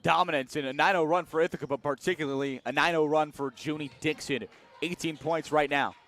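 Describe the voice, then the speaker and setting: Male color commentator, fairly high voice, live basketball analysis for radio. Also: fairly even intonation, fairly quick, a bit bright. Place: college basketball arena, live courtside broadcast.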